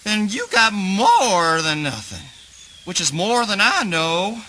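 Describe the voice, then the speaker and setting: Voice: medium pitch, huge pitch swings, takes it slowly, sharp tone, a little hiss or hum, exaggerated voice. An actor playing an evasive, folksy backwoods river dweller in a spoken word play, wry and cryptic in a rustic way. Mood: cagey, dismissive. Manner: folksy rustic phrasing, cagey.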